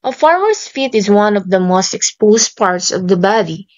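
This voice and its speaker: A woman teacher slowly reading farm safety lesson slides aloud, item by item. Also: medium pitch, expressive, dull audio. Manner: slow, deliberate reading of lists.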